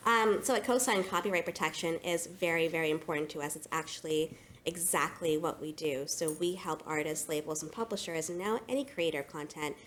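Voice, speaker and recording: A woman's voice: deep voice, a little fast, fairly bright tone, slight background noise. A woman moderating a conference panel, speaking in an organized way and putting questions to panelists.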